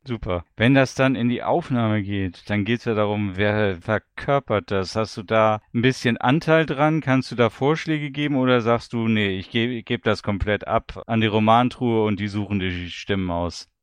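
A man's voice: fairly low pitch, moderate pace, few pauses, smooth, dry recording. A man hosting a casual audio interview and putting questions to a guest. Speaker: host.

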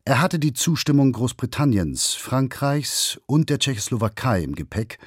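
A man's voice: medium pitch, very animated, moderate pace, husky, dry recording, clean studio sound. A man reading a scripted historical documentary as its narrator.

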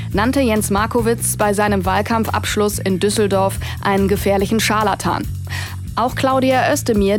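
An actress playing a formal news reporter reading a scripted bulletin in a radio satire. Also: female voice, medium pitch, lightly acted.

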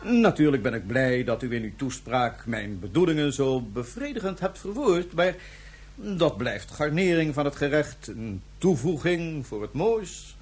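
A man's voice: fairly high pitch, sing-song, unhurried, husky, soft background music, exaggerated voice. An actor playing a suave, mocking imperial ruler in a Dutch science fiction radio drama, theatrical and condescending in his ornate phrasing. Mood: smug, commanding.

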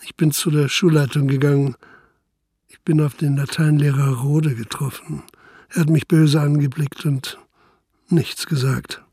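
An actor playing an earnest police detective who also narrates a radio drama, sober and matter-of-fact. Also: male voice, fairly high pitch, flat delivery, measured pace, mellow, slightly rough, no room sound, studio quality. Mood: sober, recounting.

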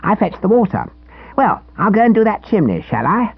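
A man's voice: sits high, very animated, fairly quick, creaky, husky, dry recording, phone-quality sound, pitched above the performer's own voice, full character voice. A man reading an audiobook and voicing a smug, grubby young boy scout who keeps pestering with chirpy insistence.

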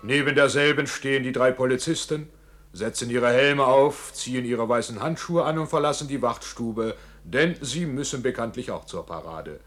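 A man's voice: mid-range voice, a bit bright. Grandiloquent fairground showman. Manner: grandiloquent, addressing spectators, drawing out phrases. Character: showman announcer of a grim fairground ballad show. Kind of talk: theatrical showman's narration in radio drama.

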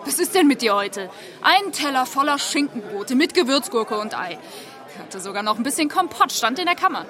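A woman acting in a German radio drama as a feisty, bossy farm household woman with a brisk, scolding manner. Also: high voice, big pitch swings, fairly bright tone. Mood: teasing. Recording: crowd murmur behind the voice.

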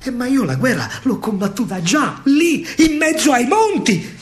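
A man's voice: very high voice, very animated, unhurried, a little hiss or hum, voice pushed up, exaggerated voice. A man voicing a wounded, war-weary young soldier with a Roman accent, plaintive and grumbling, in a stage monologue. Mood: protesting.

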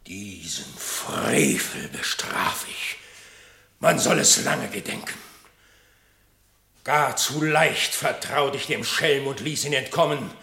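Man voicing a lion king, gravelly voice, bright edge, slow and solemn, echoey room. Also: medium pitch, heavily acted. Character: stern lion king, aging, regretful ruler. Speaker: actor. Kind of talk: German radio play acting.

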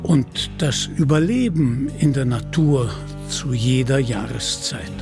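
A man narrating a scripted radio play in a measured, deliberate storytelling manner, with a husky voice. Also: mid-range voice, music in the background.

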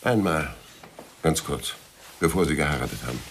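An actor playing a world-weary, wry private detective in a German crime radio play, laconic and sardonic, with a deep, gravelly, husky voice. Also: male voice, normal speed, a little hiss or hum. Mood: defiant, wry. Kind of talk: German radio play acting and first-person crime narration.

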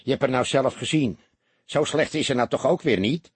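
A man's voice: mid-range voice, moderate pace, slightly rough, dry recording. A man voicing a cheeky, defensive small-time crook with colloquial, grumbling talk in an audiobook. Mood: plaintive, defensive.

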